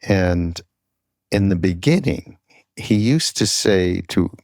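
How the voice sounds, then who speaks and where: An older man telling reflective stories as a podcast host, haltingly, searching for his words. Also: deep voice, animated, darkish tone, no echo, clean studio sound. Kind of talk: reflective conversational storytelling.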